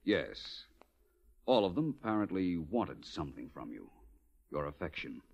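An actor playing a suave, probing gentleman detective, polished and deliberate, in a 1940s radio drama. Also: low voice, big pitch swings, creaky, heavily acted. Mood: quiet, probing. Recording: dull old radio recording.

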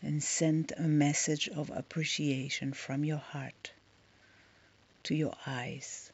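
Soft breathy lady doing a guided meditation, super slow with long pauses, kinda dull recording. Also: sits low, little pitch movement. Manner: soft, soothing, long pauses between short phrases.

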